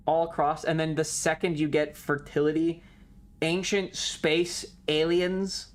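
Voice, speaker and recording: A woman streamer giving casual, sweary, joking commentary while she plays a video game. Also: deep voice. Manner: joking, irreverent.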